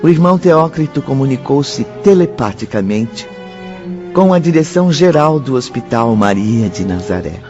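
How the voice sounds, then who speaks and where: A man's voice: mid-range voice, expressive, normal speed, muffled recording, music in the background. A man reading scripted narration for a radio drama.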